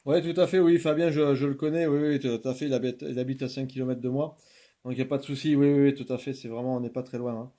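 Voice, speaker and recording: A man's voice: mid-range voice, fairly even intonation, fast, mellow. A man working as an amateur radio operator, chatting casually and repeating himself with frequent tag words. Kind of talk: casual radio contact chat.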